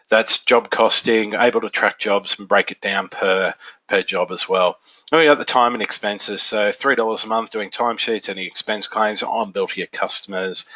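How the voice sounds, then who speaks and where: A man with a stuffy nose from a cold, presenting a software training webinar in a casual, filler-heavy way. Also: on the low side, dry recording. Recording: dull, lo-fi webinar audio.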